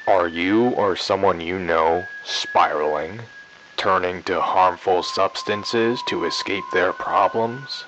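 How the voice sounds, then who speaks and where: A man reading a public service announcement voice-over in a slow, drawn-out way. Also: low voice, noisy background. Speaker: PSA narrator.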